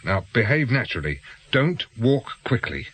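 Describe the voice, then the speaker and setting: A man's voice: medium pitch, big pitch swings, normal speed, a bit bright. An actor playing a blunt, probing ex-policeman in a radio drama, with terse questions and plain colloquial speech. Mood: urgent, wary. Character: blunt, probing ex-policeman turned amateur investigator. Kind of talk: naturalistic radio drama acting.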